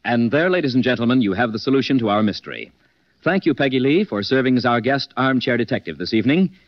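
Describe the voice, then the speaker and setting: An actor playing a confident, polished gentleman detective who hosts a 1940s radio mystery. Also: mid-range voice, animated, no echo, faint music behind, natural voice. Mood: formal sign-off. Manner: polished, deliberate. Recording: dull vintage 1940s radio recording.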